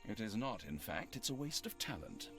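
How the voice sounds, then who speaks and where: A man's voice: on the low side, big pitch swings. Determined, idealistic male lord, formal and extremely varied in intonation, game cutscene. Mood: resolute, earnest. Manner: formal, solemn, measured diction. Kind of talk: video game cutscene acting. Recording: dramatic music behind.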